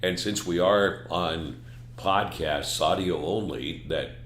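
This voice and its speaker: An older man with a very low voice made even deeper and rougher by a chest cold. He speaks in an easygoing, self-deprecating way, and the studio sounds roomy with a faint hum. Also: takes it slowly. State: chest cold, hoarse deep voice. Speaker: podcast co-host, retired newsman.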